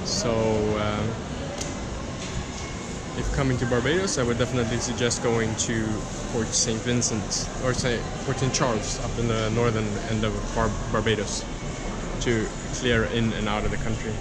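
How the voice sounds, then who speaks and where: A man's voice: medium pitch, level tone, normal speed, very noisy. A young Swedish man hosting a sailing vlog, talking loosely to a handheld camera outdoors in a busy harbor town. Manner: loose, rambling, fillers and restarts. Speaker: sailing vlogger host. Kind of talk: casual vlog talk to camera. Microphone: handheld action camera.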